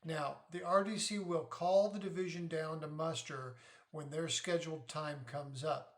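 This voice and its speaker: A middle-aged man giving an informal explainer as a parent sharing advice, deliberate and methodical in how he lays things out. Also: a little high, fairly even intonation. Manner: deliberate, methodical, poses questions then answers them.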